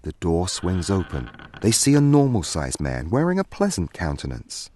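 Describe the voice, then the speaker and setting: A man narrating a scripted fantasy story in a dramatic, deliberate way. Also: sits low, wildly swooping pitch, darkish tone. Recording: music behind.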